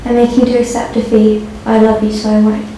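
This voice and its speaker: A woman's voice: medium pitch, completely flat delivery, fairly quick, warm tone. A woman reading to an audience as a guest at a live event. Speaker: guest poetry reader. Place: indoor live launch event. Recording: echoey, noisy hall, far from mic.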